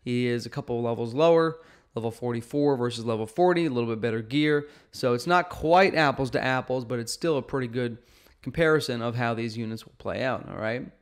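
A man in his thirties hosts a gaming video with a clean, resonant voice at a middle pitch. He talks casually through strategy, stressing points emphatically and often checking in with a quick "okay" or "right.". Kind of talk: casual game strategy commentary. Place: indoor room at home.

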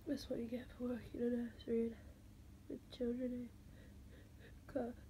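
A woman with a stuffy cold, talking slowly and half-whispering in a casual vlog, with a halting, sighing manner. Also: on the high side, completely flat delivery, mellow. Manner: slow, halting, with sighs and fillers. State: congested with a cold, stuffy nose. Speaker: vlogger. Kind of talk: casual to-camera chat. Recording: noisy background hiss.

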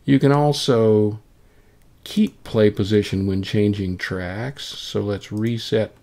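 A man presenting a casual software tutorial, thinking aloud informally as he demonstrates. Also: on the low side, takes it slowly. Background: solo acoustic guitar clips playing.

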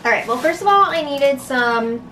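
A woman's voice: high-pitched, lively intonation, normal speed, crowd noise. A woman in her twenties chatting casually in a vlog, picked up by a handheld camera. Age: 20s. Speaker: vlogger. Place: large store aisle, later home kitchen. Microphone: handheld camera at arm's length or a little further.

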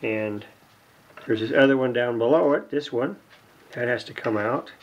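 A man giving unscripted, casual narration of hands-on repair work, halting and muttering to himself as he goes. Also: mid-range voice, dull audio. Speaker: hobbyist radio repairer, video host. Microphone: camera mic near the workbench.

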